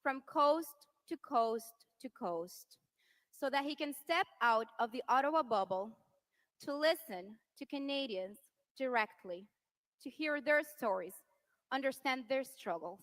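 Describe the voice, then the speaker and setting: A woman in her thirties from Venezuela addresses a rally crowd with passion. Her voice sits high and rings bright. She builds to rousing, forceful peaks on the key lines. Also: animated, unhurried. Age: 30s. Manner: impassioned, rising to rousing shouts on key lines. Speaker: politician's wife speaking at a rally. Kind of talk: political rally speech.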